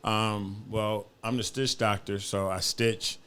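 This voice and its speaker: A man's voice: fairly low pitch, flat delivery, slow pace, sharp tone, clean tone. A man from upstate New York talking casually as an interview guest, using slang and repeating his phrases. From Buffalo, New York, United States. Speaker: podcast guest, fashion designer.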